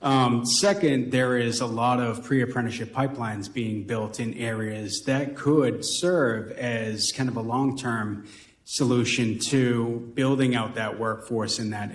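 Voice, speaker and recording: A man with a Boston accent answering as a panelist in a roundtable discussion, talking things through with frequent "right?" tags. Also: medium pitch. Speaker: panelist on workforce. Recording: roomy echo.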